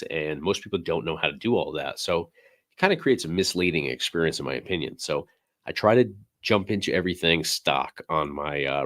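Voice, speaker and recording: A man hosting a casual livestream, slightly hoarse and losing his voice, talking in a relaxed, rambling way with many fillers. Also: a bass voice, no echo, clean studio sound. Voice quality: raspy. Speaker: livestream host.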